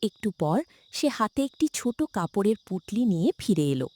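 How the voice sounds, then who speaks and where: A woman narrator telling a scripted cartoon story in a dramatic, expressive way. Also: mid-range voice, moderate pace.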